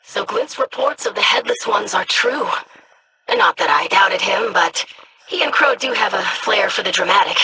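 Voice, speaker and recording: A performer voicing an earnest, studious scribe in a video game, speaking in a measured, scholarly manner. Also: mid-range voice, lively intonation, sharp tone, slightly rough, exaggerated voice, low-quality recording. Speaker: scribe investigating monsters.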